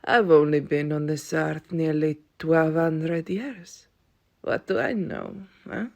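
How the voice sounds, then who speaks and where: A woman playing an ancient, world-weary Viking-born vampire aunt in an audio roleplay, wry and stern, with a husky, breathy voice and archaic wording. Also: deep voice, a little mellow, exaggerated voice. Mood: wry amusement. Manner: slow, dry, archaic wording.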